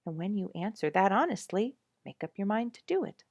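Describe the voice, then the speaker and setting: A cocky young porcupine played by a woman holds forth like a storybook lecturer. The delivery is folksy and blunt, swinging widely in pitch and landing hard on key words. The sound is close and dry. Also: very animated, a little fast, a little mellow, dry recording. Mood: folksy, urging. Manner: folksy, emphatic, preaching bluntly. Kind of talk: storybook character speech.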